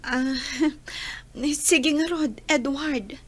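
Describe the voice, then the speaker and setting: A woman playing a gentle young college student in love, in a radio drama. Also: high-pitched, extremely slow, exaggerated voice. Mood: friendly.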